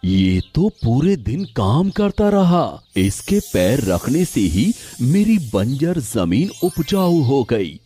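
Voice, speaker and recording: A man plays a humble cartoon farmer with a slow, drawn-out delivery and widely swinging intonation. He sounds devout and grateful, a simple villager full of wonder. Music plays behind him. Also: medium pitch, sing-song, dark tone. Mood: awed, grateful. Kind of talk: animated moral story character dialogue.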